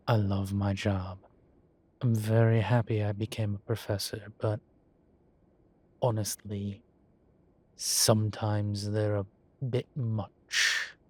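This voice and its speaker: A man plays a genteel demon professor in an audio drama. His voice sits low and moves very slowly, with deliberate pauses. He sounds erudite, formal and dryly amused, as if lecturing at his leisure. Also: little pitch movement. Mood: weary, fond. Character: urbane male demon professor, erudite, wryly amused, unhurried. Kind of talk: scripted audio roleplay, lecture and conversation.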